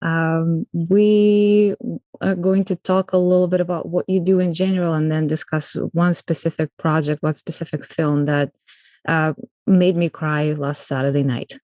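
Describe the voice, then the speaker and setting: A woman's voice: sits low, steady tone, a little slow, warm tone, no room sound. A woman hosting an interview podcast, chatting in a friendly way with some fillers and easy laughs. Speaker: podcast host. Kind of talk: casual interview talk.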